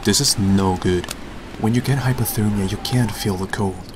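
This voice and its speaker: Man acting a low-voiced serious young devil hunter. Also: moderate pace, background noise, lightly acted. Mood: earnest, instructive. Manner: serious, scolding, earnest. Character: stern, responsible young male devil hunter, exasperated leader. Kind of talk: fan comic dub, dramatic acting.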